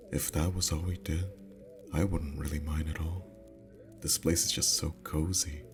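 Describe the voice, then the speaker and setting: Male actor as sweet teasing boyfriend, extremely deep pitch, soft unhurried delivery, intimate ASMR roleplay, background music.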